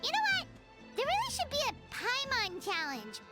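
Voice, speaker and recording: A woman's voice: very high voice, huge pitch swings, normal speed, loud music behind the voice, full character voice. A woman voicing a tiny, dramatic, food-loving fairy companion who talks about herself in the third person, in a game cutscene. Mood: defeated. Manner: third-person self-talk, whiny and theatrical.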